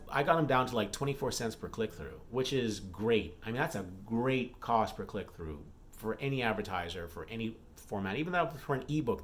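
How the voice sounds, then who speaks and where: A man talking casually in a solo vlog update as an author, rambling and thinking aloud as he goes. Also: lowish voice. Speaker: author and vlogger. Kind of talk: casual chat, business update monologue.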